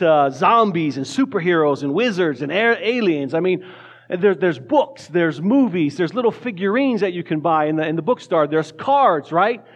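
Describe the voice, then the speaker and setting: High-voiced casual male preacher. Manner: casual, joking preacher with frequent restarts. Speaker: pastor. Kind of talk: sermon. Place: church sanctuary.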